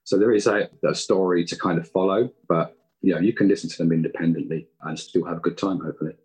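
A man giving relaxed interview answers as the writer of an audio drama. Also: low voice, quick pace, breathy edge, poor audio. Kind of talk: casual interview talk.

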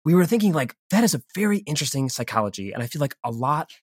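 Fast-talking man in a dry recording. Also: a little high, very animated, a little mellow, husky, no room sound. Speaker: audio drama co-creator, interview guest. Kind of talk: casual, animated explanation in an interview.

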